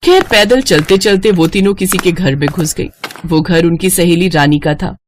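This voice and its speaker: A woman's voice: lowish voice, moderate pace, slight background noise. A woman narrator reading a scripted story.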